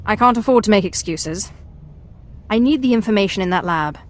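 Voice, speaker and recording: A woman's voice: medium pitch, animated, fairly quick, natural voice. A woman playing a cool, dry-witted alien Sith apprentice in a video game, with controlled delivery. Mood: determined, dry. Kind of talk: video game cutscene acting.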